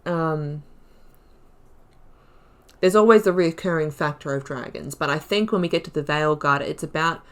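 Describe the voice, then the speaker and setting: A woman's voice: deep voice, normal speed, long pauses. A woman hosting a gaming video, reading lore aloud and adding informal, self-correcting asides. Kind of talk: reading game lore aloud with casual commentary.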